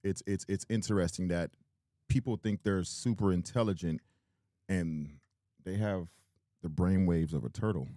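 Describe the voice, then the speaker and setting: A man with a very deep, low voice co-hosting a podcast. He talks in a laid-back, teasing way. The sound is dry and close. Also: moderate pace, dark tone, dry recording. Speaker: podcast co-host. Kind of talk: casual chat.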